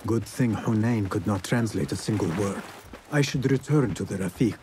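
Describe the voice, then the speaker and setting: An actor playing a guarded, determined young assassin in dramatic video game dialogue. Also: male voice, fairly low pitch, normal speed, background noise, close to an everyday voice. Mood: focused. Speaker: game protagonist. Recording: music behind the voice.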